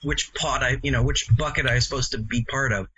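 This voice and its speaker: A man's voice: medium pitch, steady tone, quick pace, pushed and bright, slightly rough. A man in his sixties with a Canadian accent, reminiscing casually as an interview guest in a rambling, self-correcting way. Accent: English-speaking Canadian. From Montreal, Canada. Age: 60s. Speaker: interview guest, comics author. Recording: muffled lo-fi audio.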